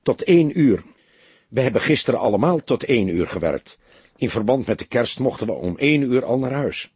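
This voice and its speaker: A man's voice: mid-range voice, very animated, brisk, darkish tone, slightly rough, no room sound. A man voicing a young unmarried mother, upset, defensive and bitter about men, in an audiobook. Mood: subdued, explaining. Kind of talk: audiobook character dialogue.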